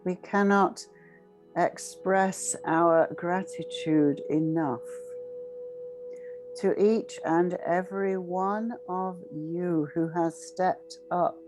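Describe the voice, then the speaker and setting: Very slow, solemn woman leading meditation. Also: lowish voice, fairly even intonation, music in the background, rough recording. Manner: solemn, measured, long pauses between short phrases. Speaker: guided meditation leader. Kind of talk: slow guided meditation, ceremonial invocation.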